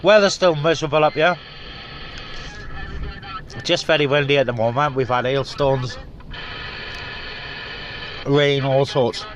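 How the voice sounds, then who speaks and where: A man's voice: medium pitch, unhurried, long pauses, a little hiss or hum, rough recording. A man, a CB radio hobbyist, chatting casually and rambling with lots of fillers from inside a parked vehicle. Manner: relaxed, rambling, many fillers. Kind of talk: casual chat to camera.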